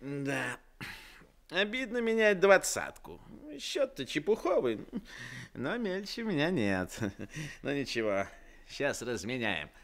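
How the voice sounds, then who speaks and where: A man's voice: a little high, big pitch swings, slow, fairly bright tone, heavily acted. An actor playing a brash, boastful gangster driver who laughs at his own schemes, in a radio play. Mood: swaggering. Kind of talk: Soviet radio play acting.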